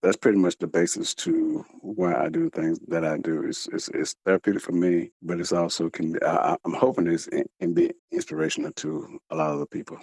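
A man with a very low, creaky voice talks reflectively as an interviewed author. He is earnest and halting, stumbling and repeating words with a speech impediment. The sound is dry and close. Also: expressive, darkish tone, no room sound. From United States.

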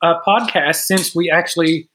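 A middle-aged man co-hosting a podcast over a video call, talking earnestly in casual chat with a hesitant, halting delivery. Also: fairly high pitch, steady tone, pushed and bright, a little hiss or hum. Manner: earnest, hesitant with many uh fillers and restarts. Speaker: podcast co-host.

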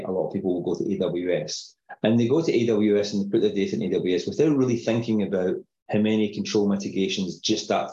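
A middle-aged man with a Scottish accent, explaining things in a measured way as a guest on a podcast interview. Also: deep voice, warm tone, echoey room, dull audio. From Scotland. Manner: measured, explanatory, uses filler phrases. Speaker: company founder guest.

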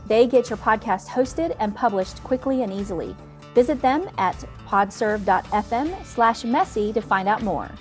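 A woman's voice: fairly high pitch, moderate pace, soft background music. Gushing woman podcast host. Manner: gushing, enthusiastic. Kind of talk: casual podcast chat and sponsor reads.

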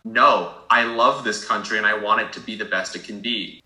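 Teen boy, panelist, slightly low voice, bright, reflective and hedging, echoey room. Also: steady tone, normal speed. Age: teens. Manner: thoughtful, hedging with fillers like "like". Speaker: discussion participant. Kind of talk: casual group discussion.

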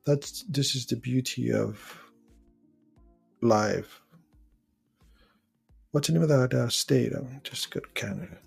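Gravelly man hosting a live call-in show. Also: mid-range voice, takes it slowly, pauses often, soft background music. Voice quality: rambling, searching for words. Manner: reads out viewer comments. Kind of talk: casual live-stream chat.

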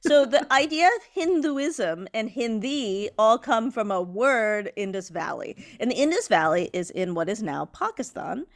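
A woman's voice: on the high side, very animated, a little slow, hardly any pauses. A woman co-hosting a podcast, giving a casual crash course in art history with frequent fillers and self-corrections. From not from India. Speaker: podcast co-host.

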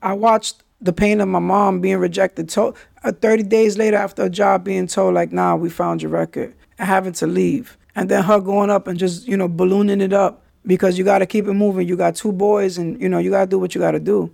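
A man recounts his past in candid street slang. His voice sits fairly high and carries a heavy gravelly fry. The sound is close and dry. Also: level tone, a little fast, few pauses, a little mellow, dry recording. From New York City. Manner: street slang, frequent "yo" and "you understand?". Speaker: podcast host. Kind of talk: candid personal storytelling.

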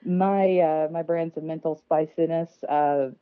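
A woman with a very low voice answers interview questions over a tinny, phone-like line with dry sound. She sounds nervous and self-deprecating, with plenty of fillers along the way. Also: fairly even intonation, a little slow, clean tone, no room sound. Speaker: podcast guest. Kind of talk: casual interview conversation. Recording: dry, phone-like remote call audio.

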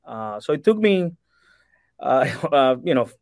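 A man's voice: mid-range voice, big pitch swings, measured pace, a little mellow, dry recording, clean studio sound. A man in his forties from Cuba, a real estate broker talking earnestly as a podcast guest, with frequent fillers and restarts. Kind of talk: podcast interview.

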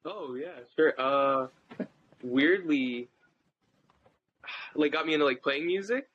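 Rambling young man, bright tense tone. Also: on the high side, lively intonation, slow pace, muffled recording. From Southern California. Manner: rambling, laid-back, fills with "like". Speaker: band rhythm guitarist. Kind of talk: casual interview chat.

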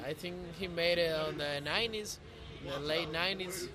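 A man from the Canary Islands with a Canarian Spanish accent, chatting casually in halting second-language English during an interview. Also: a little high, crowd noise. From Canary Islands, Spain. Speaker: podcast guest, visiting artist. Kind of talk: casual street interview chat. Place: outdoors on a New York street. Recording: busy city street with voices around.

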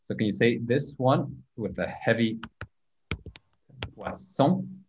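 A man teaching French pronunciation in an online group class, patiently repeating words slowly for learners. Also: medium pitch, slight background noise.

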